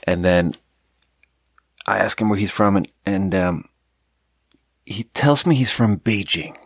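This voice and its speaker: A man's voice: fairly low pitch, slow, creaky, no room sound, studio quality. Rambling, profane man telling a story. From Sweden. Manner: rambling, profane, laughs at his own jokes. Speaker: podcast host. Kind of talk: casual storytelling monologue.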